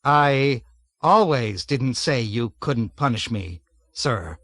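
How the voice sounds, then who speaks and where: A man's voice: medium pitch, animated, slow, a bit bright, dry recording. A man doing a meek, terrified young cadet. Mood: meek, flustered. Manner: meek, polite, hesitant. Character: timid young male air cadet under interrogation.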